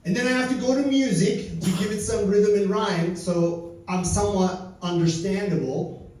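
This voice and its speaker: A man from Turkey doing stand-up with a fairly high voice. His delivery is dry and wry. He sounds far from the mic in a very echoey lounge. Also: moderate pace, a little mellow. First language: Turkish. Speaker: stand-up comedian. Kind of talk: stand-up set. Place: comedy lounge stage.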